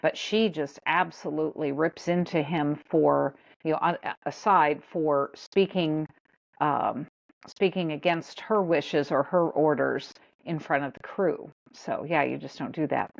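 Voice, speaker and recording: A woman on a podcast panel talking casually, halting and restarting as she gathers her thoughts, over a dull lo-fi line. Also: deep voice, fairly even intonation, creaky. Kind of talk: casual chat.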